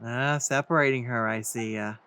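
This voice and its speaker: A man's voice: medium pitch, steady tone, moderate pace, bright, forceful tone, smooth. A man giving casual reactions as a let's play commentator over a game.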